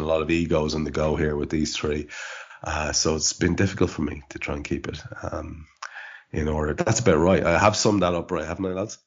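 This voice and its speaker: A man's voice: very deep voice, husky. A man hosting a podcast from home, congested and sniffling from allergies, talking in a wry, rambling way full of fillers. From Ireland. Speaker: podcast host. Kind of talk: casual chat. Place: home studio in rural Ireland. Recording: dull lo-fi podcast audio.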